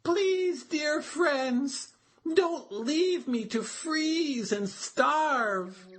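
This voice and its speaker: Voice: high voice, lively intonation, drawn-out words, pitched above the performer's own voice, full character voice. A storyteller voicing a fretful little male turtle in a children's storybook, wailing and pleading in an exaggerated way. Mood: anxious wailing.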